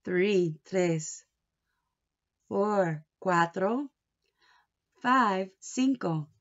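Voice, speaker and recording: Slow, creaky-voiced woman reading to children. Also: medium pitch, muffled recording. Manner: expressive, asks questions and pauses for answers. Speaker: teacher reading aloud to young children. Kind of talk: children's story read-aloud with asides.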